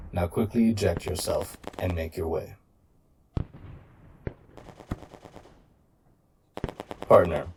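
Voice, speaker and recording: A huge battle robot played by a man speaks to a human partner in a low, creaky voice. The delivery is formal, measured and protective, in the manner of a sci-fi audio drama. It sounds set back from the mic amid clear noise. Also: recorded from a distance. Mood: grave, pleading. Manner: formal, measured, literal-minded. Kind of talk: scripted audio roleplay addressed to the listener.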